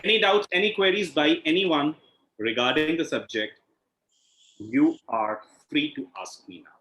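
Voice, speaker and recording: A man's voice: on the high side, a little slow, bright, forceful tone. A man with an Indian accent explains as an instructor on an online call, in a didactic way, often checking in with a questioning "right?". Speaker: healing instructor and session host. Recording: echoey room over video call.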